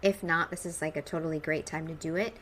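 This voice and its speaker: An American woman in her forties speaks in a fairly low voice, as if addressing her regular podcast listeners. Her delivery is upbeat, informal and emphatic. Faint ambient noise sits behind the recording. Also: fairly even intonation, quick pace, fairly bright tone. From United States, suburban Midwest. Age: 40s. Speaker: podcast host. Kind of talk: personal podcast monologue.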